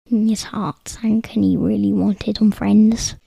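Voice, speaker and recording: A woman's voice: medium pitch, steady tone, slow pace, vocal fry, warm tone, breathy edge, dry recording, studio quality. A woman narrating a bedtime story as a podcast co-host.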